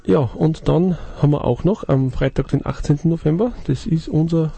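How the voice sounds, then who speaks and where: A man's voice: fairly high pitch, normal speed, dark tone, no echo. A male radio host in casual chat, reading event listings and sometimes losing his thread. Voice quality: gravelly, husky. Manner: stumbling, loses his thread. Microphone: dry studio mic.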